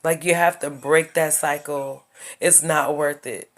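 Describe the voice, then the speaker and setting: Emphatic low-voiced woman giving advice. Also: steady tone, measured pace. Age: 30s. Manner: emphatic, preachy, repeats points to the viewer. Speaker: YouTuber giving life advice. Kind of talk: casual advice monologue.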